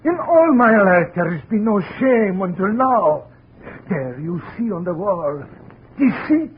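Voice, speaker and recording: A phone-like, faintly hissy old radio drama. a proud immigrant father in middle age, grieving and solemn. He speaks slowly and formally, in a slightly high voice. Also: very animated, slightly rough, full character voice. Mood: anguished shame. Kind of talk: 1950s radio drama acting.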